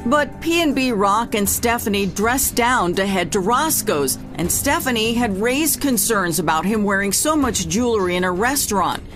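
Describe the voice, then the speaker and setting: A woman narrating a true-crime news segment in a measured, grave newsreader delivery. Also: medium pitch, lively intonation, music in the background.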